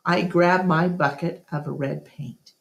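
A middle-aged woman reading a children's novel aloud in a deliberate, careful storyteller's delivery. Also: on the low side, steady tone, gravelly. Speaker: read-along storyteller.

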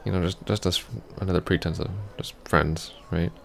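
A man playing a heartbroken, apologetic ex-boyfriend in an intimate ASMR roleplay, soft-spoken, halting and stammering. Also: sits low, fairly even intonation, creaky, a little hiss or hum. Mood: heartbroken, pleading.

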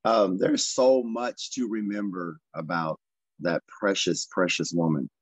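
A hoarse man in his fifties, a pastor sharing memories on a video call, speaking reflectively with pauses and fillers. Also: sits low, steady tone, no echo. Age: 50s. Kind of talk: casual testimony. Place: indoor room with wood behind. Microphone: webcam on a video call. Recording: dry, dull lo-fi video-call audio.

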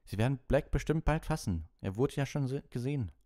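A man's voice: mid-range voice, lively intonation, a little fast, warm tone, voice pushed up, close to an everyday voice. A man voicing an earnest, cautious young schoolgirl, reassuring but firm, in an audiobook. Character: earnest, cautious thirteen-year-old schoolgirl. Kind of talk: audiobook character voice.

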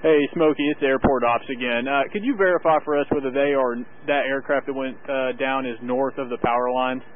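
A man's voice: medium pitch, steady tone, fairly quick. A man from airport operations speaking politely over a two-way radio. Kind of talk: radio communication. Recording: noisy, phone-like radio transmission.

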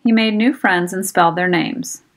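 A woman reading a children's storybook aloud with expressive, careful enunciation for young listeners. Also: on the low side, normal speed. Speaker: storybook reader. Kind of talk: scripted children's storybook narration. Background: music.

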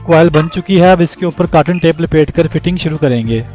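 A man's voice: fairly high pitch, a little fast. A man giving a scripted instructional voice-over as a tutorial host, explaining plainly step by step. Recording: music behind the voice. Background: background music.

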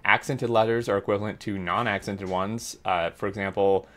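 A man's voice: lowish voice, steady tone, normal speed, fairly bright tone. A man casually reading out and commenting on a game developer blog, upbeat and full of fillers. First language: English. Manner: chatty, filler-heavy, upbeat. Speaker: gaming YouTuber.

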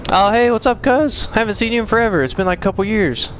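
Man playing Randy, an excitable slangy young cousin. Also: sits high, quick pace, slight background noise, pitched above the performer's own voice, poor audio. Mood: excited. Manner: gushing, slangy.

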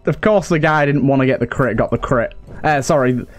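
A man's voice: mid-range voice, normal speed. A man giving casual commentary as he plays a game, thinking aloud in an understated way. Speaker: gaming video host.

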